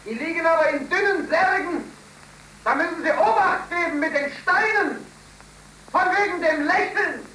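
An actor playing a morbid, bitterly laughing, jobless young man in a theatrical, halting 1930s radio play style. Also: extremely high pitch. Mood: calling out. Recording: hissy 1930s radio recording.

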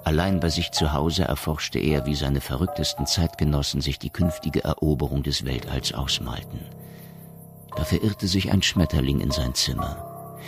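An actor as a solemn storyteller, narrating a science fiction radio play in a measured, literary way. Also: male voice, a bass voice, gravelly, full character voice. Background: music.

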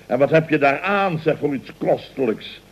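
An actor playing a blustering, jovial boss in a radio comedy, stammering and exclaiming in mock-formal phrases. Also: male voice, fairly high pitch, expressive, normal speed, husky. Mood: flustered amusement. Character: blustering, jovial male boss of a building firm. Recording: dull old radio recording.

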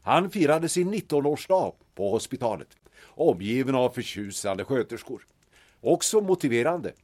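Man playing a theatrical older gentleman, swooping intonation. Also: medium pitch, very animated. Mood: nostalgic, dramatic. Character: courteous, nostalgic older gentleman, officer's grieving brother. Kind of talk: dramatic storytelling in audio drama.

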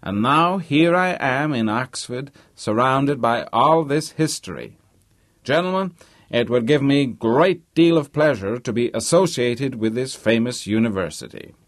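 A man's voice: medium pitch, animated, a little slow, dry recording, studio quality, voice pushed up, lightly acted. A man voicing a wealthy middle-aged American businessman, earnest, respectful and effusive, in a storybook reading. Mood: proud, effusive.

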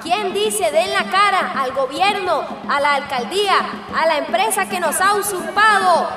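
An actress playing a defiant protest leader, shouting rhythmic chants in a radio soap opera. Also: female voice, very high voice, a little slow. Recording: distant, echoey, loud music and crowd.

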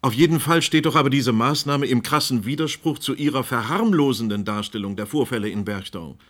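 An actor plays a male radio host in a radio play. He is pointed and sceptical, pressing his guests with insistent questions. His voice sits at a medium pitch. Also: a little fast, a bit bright, close to an everyday voice. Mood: probing. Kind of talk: radio play acting as a live discussion host.

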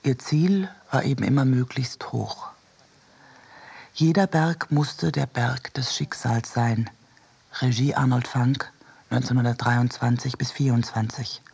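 A man's voice: medium pitch, fairly even intonation, measured pace, vocal fry, a little mellow, slightly rough. A man reading scripted literary narration for a radio production. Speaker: reader of a literary essay.

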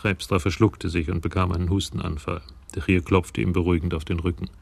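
Deep, gravelly male crime-story narrator. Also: flat delivery, brisk. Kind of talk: scripted narration in a German radio crime drama.

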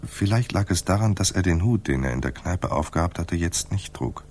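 An actor playing a world-weary, sardonic private detective who also narrates in a radio crime drama, with a dry, wry, clipped delivery. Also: male voice, low-pitched, expressive, moderate pace, darkish tone. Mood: brooding narration.

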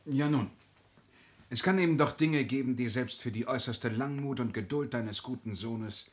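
Actor as a stiff, snobbish gentleman. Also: medium pitch, normal speed, lightly acted. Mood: disapproving. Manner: formal, stilted. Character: stiff, snobbish, disapproving grown son. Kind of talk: classic German radio play acting.

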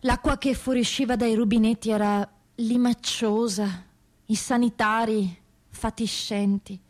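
A woman with a rather high voice delivers a dramatic monologue for radio theatre. Her phrasing is grave, measured and deliberate. Music accompanies the voice. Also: steady tone, a little mellow. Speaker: actress reading prisoners' testimonies.

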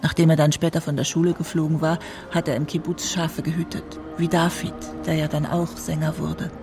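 A woman's voice: sits low, flat delivery, fairly quick, music in the background. An actress playing a singer's doting elderly mother in a radio drama, telling her memories with tender wistfulness. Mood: nostalgic, fond.

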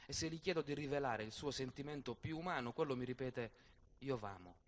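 A man's voice: mid-range voice, a little fast, slight background noise, natural voice. A man playing a capricious, exacting young lady of the stage, with dramatic, declamatory delivery, in a radio theatre piece. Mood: musing, indignant. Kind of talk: theatrical verse monologue and dialogue. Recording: voice over prominent plucked guitar music.

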